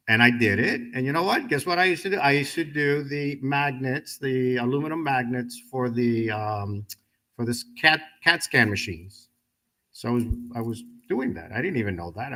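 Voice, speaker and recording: Man, 50s, from the Dominican Republic, ceremony speaker. Bright, forceful voice, jokes and works the crowd. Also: mid-range voice. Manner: animated, joking, asks the audience questions. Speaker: guest speaker at a graduation ceremony. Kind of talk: motivational speech with personal stories. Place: event hall with a live audience.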